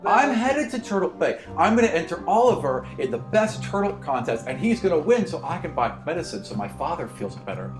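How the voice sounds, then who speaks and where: Man voicing an eager little girl, high-pitched. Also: big pitch swings, fairly quick, hardly any pauses, reverberant, music in the background. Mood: earnest. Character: eager little girl entering her pet turtle in a contest.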